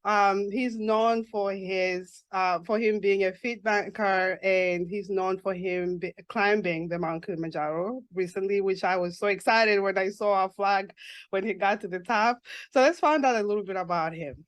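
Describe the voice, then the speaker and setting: A woman from Zambia hosting a casual podcast interview, excitable and quick to laugh. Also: medium pitch, dry recording. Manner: warm, excitable, laughs often. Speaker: podcast host. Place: New York.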